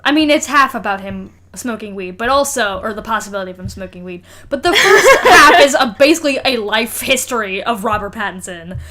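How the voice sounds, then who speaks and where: Woman podcast co-host, bright crisp-edged voice, fairly high, wry, jokey, laughs easily, casual banter. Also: lively intonation, brisk, few pauses. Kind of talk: casual comedic podcast chat.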